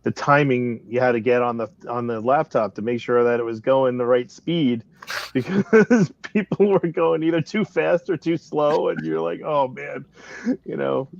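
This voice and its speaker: Man, gravelly mid-pitched voice, casual rambling chat, chuckling delivery, dull lo-fi audio. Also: normal speed. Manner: rambling, punctuates talk with chuckles. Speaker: director on a production discussion panel.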